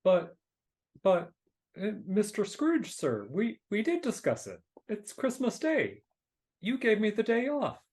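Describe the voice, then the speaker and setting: A woman's voice: medium pitch, wildly swooping pitch, slow pace, darkish tone. A woman playing a timid, deferential male clerk who stammers and hesitates, in a live dramatic reading. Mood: nervous, apologetic.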